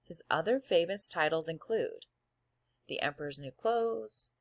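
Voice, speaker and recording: Dry-sounding woman teacher with a lowish voice. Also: animated, a little slow, no room sound. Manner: addresses pupils directly, encouraging, step-by-step. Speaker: elementary school teacher. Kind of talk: reading aloud and instructing a class in a recorded remote lesson.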